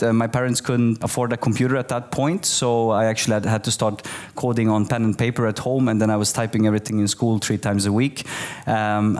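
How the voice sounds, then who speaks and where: A man in his forties from Bosnia, giving a keynote talk to an audience, relaxed and full of fillers and restarts. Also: medium pitch. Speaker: keynote speaker. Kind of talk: conference talk. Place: office event space with audience.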